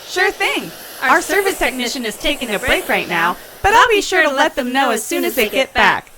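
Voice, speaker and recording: A woman's voice: high-pitched, sing-song, normal speed, pushed and bright, slight background noise, full character voice. A woman playing a brassy, motherly diner hostess in an audio drama, with a sassy, folksy way of talking. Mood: welcoming.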